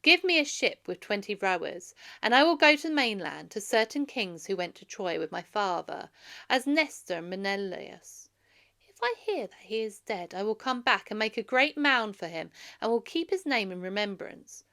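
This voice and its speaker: Woman as a young storybook prince, earnest, grieving, oratorical, bright tone, animated pitch. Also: moderate pace. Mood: resolute. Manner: emphatic, oratorical.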